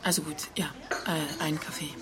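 A woman's voice: low voice, level tone, brisk, noisy background, lightly acted. Worried, faltering middle-aged mother, played by an actress. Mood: hesitant, worried. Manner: hesitant, faltering, then insistent. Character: worried, indignant mother and banker's partner. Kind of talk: naturalistic radio drama acting.

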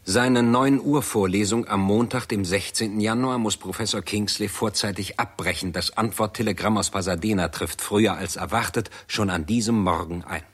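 An actor as the sober narrator of a 1960s German science fiction radio drama, reading in a factual, precise style. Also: male voice, lowish voice, moderate pace, hardly any pauses, a bit bright, slightly rough.

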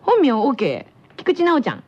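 A woman radio host with a high voice that moves around a lot. She banters and teases, jumping in quickly. The recording is dull and slightly lo-fi. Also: huge pitch swings, slight background noise. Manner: teasing, quick to interject.

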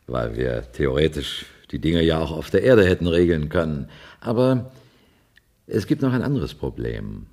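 Casting: formal corporate executive in space, low voice with wide swings, deliberate, vintage radio drama. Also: male voice, darkish tone. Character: formal male space consortium executive, businesslike. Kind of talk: 1970s German radio play acting.

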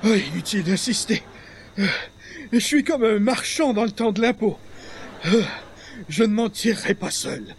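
A man's voice: high voice, animated, a little slow, pauses often, breathy edge, exaggerated voice. An actor playing a pompous, hapless male columnist with florid, formal wording in a radio comedy. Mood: dismayed. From Quebec. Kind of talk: 1940s-style radio comedy acting.

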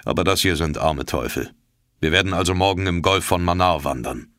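A stern, secretive submarine captain speaks in a low, measured voice, as a man reads the part in an audiobook. The deep tone stays calm and unhurried. The sound is close and dry. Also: dry recording. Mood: calm, cool.

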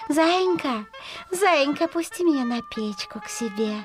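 A woman's voice: high voice, expressive, unhurried, music in the background. A woman voicing a sly, bossy female fox who wheedles and threatens in a singsong way in a children's fairy-tale audio play. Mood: wheedling.

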